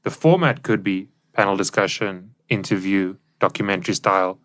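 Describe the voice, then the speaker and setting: A man's voice: deep voice, moderate pace, fairly bright tone. A South African man co-hosting a podcast, explaining things in a casual, informal discussion style on a studio microphone. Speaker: podcast co-host. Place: professional recording studio.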